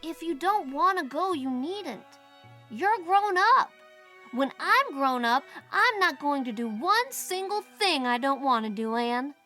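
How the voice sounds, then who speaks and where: A woman's voice: sits high, lively intonation, measured pace, bright, forceful tone, faint music behind, heavily acted. A woman playing a stubborn, inquisitive little boy in an audiobook, with a blunt, insistent, childish way of talking. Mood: stubborn protest. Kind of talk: audiobook character acting.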